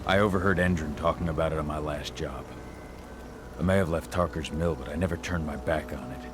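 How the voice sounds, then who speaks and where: An actor playing a gruff, brooding werewolf fighter and protective father in a video game cutscene. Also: extremely low pitch, fairly quick, background noise. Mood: guarded, subdued.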